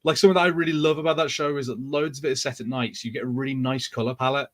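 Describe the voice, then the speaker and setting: A man's voice: medium pitch, steady tone, rapid-fire, a bit bright. A man co-hosting a podcast, explaining his opinions in casual, conversational speech. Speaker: podcast co-host. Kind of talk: casual chat.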